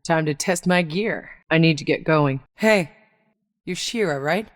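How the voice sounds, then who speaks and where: Casting: tough female sci-fi game mercenary. Low-pitched, sardonic and blunt, recorded close and dry. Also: a little slow, no echo. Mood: teasing, casual. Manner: wry, blunt, colloquial. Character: tough, sardonic woman mercenary on an alien colony. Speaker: video game protagonist voice actor. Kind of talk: scripted game dialogue.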